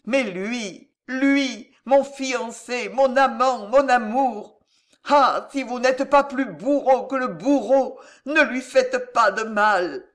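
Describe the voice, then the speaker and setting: A man's voice: high voice, level tone, normal speed, few pauses, fairly bright tone. A man voicing a desperate young woman who pleads breathlessly and passionately for her lover in a dramatic audiobook. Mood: anguished pleading. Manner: breathless, imploring, fervent.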